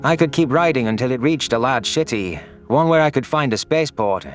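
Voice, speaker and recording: A man's voice: mid-range voice, expressive, brisk, natural voice. Guy doing a anguished vengeful young alien narrating his sci-fi story, solemn and brooding, faint music behind. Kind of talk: dramatic first-person audiobook narration.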